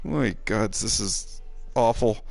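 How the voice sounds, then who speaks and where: A man's voice: lowish voice, a little slow, gravelly, darkish tone. A man giving casual, sardonic live gaming commentary, given to exasperated asides. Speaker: game streamer.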